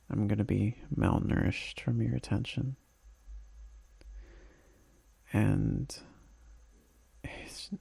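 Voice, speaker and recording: A man playing a homesick, tender boyfriend in an intimate ASMR roleplay voicemail, speaking softly and breathily with halting pauses and sighs. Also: mid-range voice, creaky, slightly rough, dry recording, full character voice. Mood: longing, affectionate.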